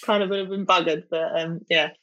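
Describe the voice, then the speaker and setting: A woman's voice: lowish voice, steady tone, fairly quick, bright, forceful tone, no echo. A young woman with a South East London accent, chatting casually as an interview guest, full of fillers and restarts. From England.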